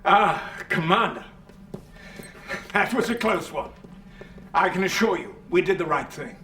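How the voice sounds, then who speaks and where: An actor playing a commanding, self-righteous military leader in a video game cutscene, with an emphatic, declamatory delivery. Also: male voice, high voice, lively intonation, unhurried, pushed and bright, far from the mic, exaggerated voice. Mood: relieved, justifying.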